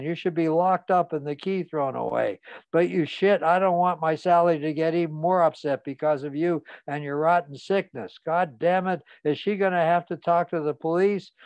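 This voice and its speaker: A man reading an audiobook, voicing an enraged father who curses and shouts. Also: on the high side, clean tone, no echo, pitched above the performer's own voice, natural voice. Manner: shouting, cursing, hostile. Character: furious middle-aged father, enraged and cursing.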